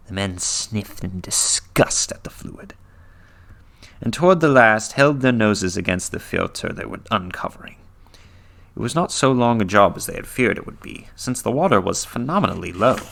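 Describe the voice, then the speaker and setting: A man giving a dramatic reading of a horror story, theatrical and sometimes dropping to a hushed, breathy tone. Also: on the low side. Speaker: reader.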